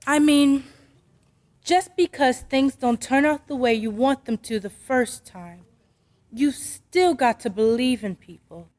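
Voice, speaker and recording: Woman as a slow-speaking, high-voiced gentle mother. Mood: earnest, reassuring. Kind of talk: old-time radio drama acting.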